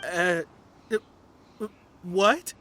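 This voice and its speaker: A woman's voice: fairly low pitch, very slow, a bit bright, slight background noise. A woman playing an anxious, polite and gullible client in a video game, earnest and hesitant. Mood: stunned. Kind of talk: English video game voice acting. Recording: game music behind the voice.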